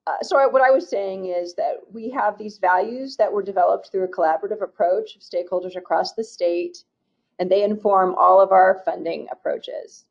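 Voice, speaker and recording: A woman from a state agency presenting slides in a webinar, reading through program goals in a steady, formal way with occasional restarts. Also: mid-range voice, normal speed, gravelly. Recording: muffled, dull webinar audio.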